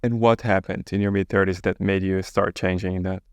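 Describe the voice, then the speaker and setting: Smooth-voiced man asking questions. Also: low-pitched, level tone, normal speed, darkish tone, dry recording, studio quality. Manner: curious, brief prompting. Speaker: podcast host. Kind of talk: interview questions.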